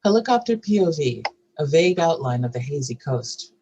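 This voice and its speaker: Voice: medium pitch, very animated, brisk, muffled recording, background noise. A narrator reading screenplay stage directions and scene headings aloud at a scripted table read.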